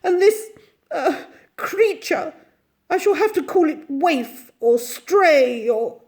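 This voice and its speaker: A haughty, fussy lady of means from a storybook. Her voice leaps very high and swings widely. She is indignant and imperious, with theatrical, wailing flourishes. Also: expressive, takes it slowly, a little mellow, heavily acted. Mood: distraught, resigned. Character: haughty, fussy, melodramatic well-to-do lady dog owner.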